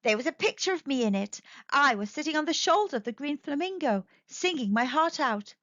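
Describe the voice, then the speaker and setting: A woman's voice: high voice, expressive, a little fast, a bit bright. A woman narrating a children's story expressively from a script as a storyteller. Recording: slightly dull, echoey home recording.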